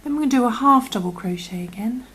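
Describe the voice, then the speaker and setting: A woman with a croaky voice, patiently talking through a crochet tutorial and often dropping to a half-whisper as she works. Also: on the high side, lively intonation, fast talker, a bit bright. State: croaky from illness. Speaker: crochet instructor. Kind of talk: step-by-step tutorial.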